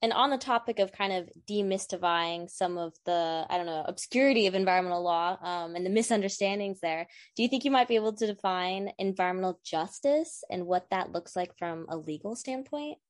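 A woman's voice: mid-range voice, brisk, hardly any pauses, no room sound, studio quality. A young woman in her twenties, a student co-host asking interview questions in a casual, curious way. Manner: casual, curious, with fillers like "like" and "kind of". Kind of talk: podcast interview.

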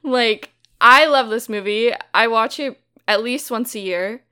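A woman's voice: on the high side, a little slow, pushed and bright, smooth. A woman co-hosting a film podcast in casual chat, gushing and giggly, full of likes and ums. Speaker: podcast co-host.

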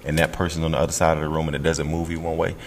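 A man's voice: rumbling low, flat delivery, rapid-fire, slight background noise. A man co-hosting a podcast, giving blunt, slangy relationship advice. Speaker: podcast co-host. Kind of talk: casual chat.

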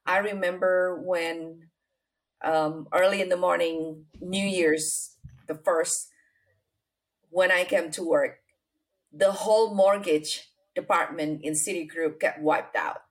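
A middle-aged woman from Indonesia with an Indonesian accent, telling her life story as an interview guest, unhurried and full of fillers. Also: lowish voice, steady tone, low-quality recording. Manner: unhurried, many fillers and restarts, non-native grammar.

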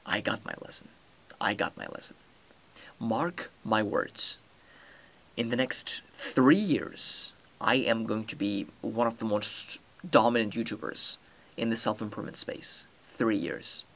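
A man's voice: fairly low pitch, measured pace, creaky, fairly bright tone. A young man from Turkey, a native Turkish speaker, hosting a solo podcast in a determined, earnest way with many fillers and restarts. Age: young adult. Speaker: podcast host.

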